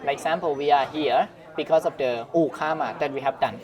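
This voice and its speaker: A young man with a Thai accent, a Buddhist monk, talking haltingly in an interview as he searches for words. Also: fairly high pitch, dull audio. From Shan State, Myanmar, raised in Thailand. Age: young adult. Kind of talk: casual interview conversation. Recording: busy crowd noise around. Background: crowd chatter.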